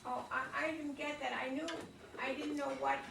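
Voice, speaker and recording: A woman's voice: sits high, little pitch movement, normal speed, vocal fry, a bit bright. A woman in a theatre discussion politely asking questions and giving feedback. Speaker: audience member giving feedback. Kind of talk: workshop discussion. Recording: echoey room, distant mic, noisy.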